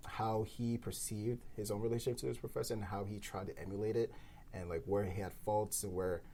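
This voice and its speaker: A man's voice: on the low side, vocal fry, dark tone, a little hiss or hum. A man co-creating a podcast, talking through ideas in a thoughtful, rambling way with many fillers. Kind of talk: casual round-table discussion.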